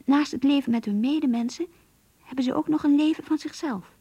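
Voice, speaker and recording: A woman's voice: high voice, brisk, mellow. An actress playing an earnest, idealistic young office worker who confides her troubles in an introspective radio play monologue. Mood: hushed, pensive. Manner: introspective, pleading, searching.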